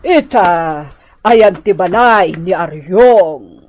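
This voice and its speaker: A woman's voice: lowish voice, lively intonation, very slow, a little mellow, slight background noise. A woman acting a haughty, snappish middle-aged lady in a comedic radio drama, with an Ilocano accent and a theatrical manner. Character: haughty, snappish older woman returned from abroad.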